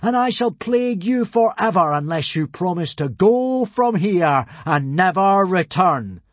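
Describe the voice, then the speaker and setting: Boy god of thunder, booming, commanding, wrathful, high and husky, drawn-out storybook proclamations. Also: expressive, dry recording. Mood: solemn, threatening.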